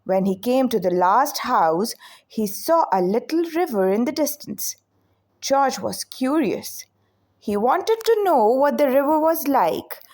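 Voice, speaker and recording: A female storyteller reads to children with a slightly raised pitch and very lively intonation. Her delivery is expressive and unhurried, giving each phrase room to land. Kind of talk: children's read-aloud narration.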